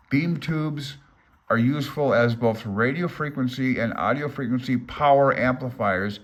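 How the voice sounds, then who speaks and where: A man's voice: medium pitch, a bit bright. An older man gives a casual, unscripted read-along explanation as a hobbyist presenter, rambling, thinking aloud and openly unsure of himself. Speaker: amateur radio hobbyist presenting a handbook.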